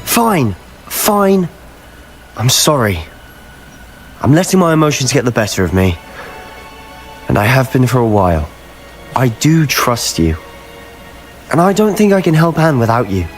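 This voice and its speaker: An intense teenage schoolboy wizard for a video game. He is secretive, hot-headed and clipped, speaking at a middling pitch with music faintly behind him. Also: sing-song, unhurried, pauses often. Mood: contrite.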